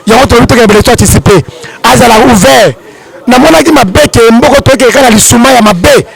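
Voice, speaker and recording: A man's voice: very high voice, brisk, vocal fry, low-quality recording. A man from DR Congo with a Congolese accent giving emphatic political commentary, repeating his points for weight.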